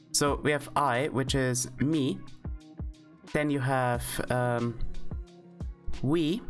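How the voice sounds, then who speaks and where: Woman, extremely low voice, casual streamer lesson, thinking aloud, faint background music. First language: German. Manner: thinking aloud, frequent fillers and self-corrections. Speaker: streamer teaching Esperanto.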